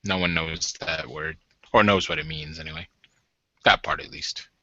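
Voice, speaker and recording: A man playing a stern werewolf warden with a softer, wry side, speaking in a measured, halting way in a tabletop roleplay. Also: extremely low pitch, fairly even intonation, no room sound, lo-fi sound, pitched below the performer's own voice, heavily acted. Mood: guarded.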